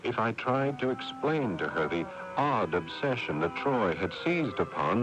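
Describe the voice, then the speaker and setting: An actor playing an uneasy, conciliatory man caught in a family mystery, speaking hesitantly in a radio drama. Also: lowish voice. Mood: uneasy, reflective. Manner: hesitant, placating. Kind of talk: 1980s radio drama acting and narration. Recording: noisy old radio broadcast recording.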